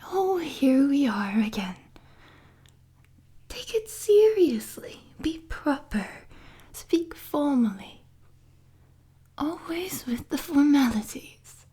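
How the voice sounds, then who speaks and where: Woman doing a crazy flirty villain lady for audio roleplay, soft mellow breathy whispering, teasing and obsessive, drags her words out. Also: high voice, sing-song, heavily acted. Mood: taunting, coy. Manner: slow, teasing, sultry, mock-sweet taunting. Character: unhinged, flirtatious female supervillain prisoner, obsessive and taunting. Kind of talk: roleplay audio drama monologue.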